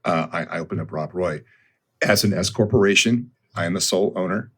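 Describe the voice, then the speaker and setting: Low husky man in his fifties. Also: a little fast. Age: 50s. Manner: thoughtful, with frequent ums and restarts. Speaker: guest, consulting firm founder. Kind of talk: conversational podcast interview.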